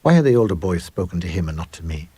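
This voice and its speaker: A man narrates a supernatural tale in a low, heavily husky voice. His delivery is measured and grave, shaped like a dramatic audiobook reading. The sound is close and dry. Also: very animated, warm tone, no echo. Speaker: story reader. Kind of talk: dramatic first-person ghost story reading.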